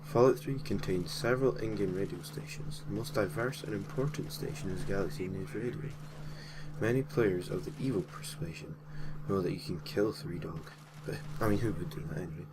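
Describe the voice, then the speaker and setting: A man's voice: low-pitched, a little fast, noisy background. A man narrating a gaming story in an informal way, reading from a script with casual, slightly hesitant asides. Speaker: host and narrator of a gaming creepypasta series.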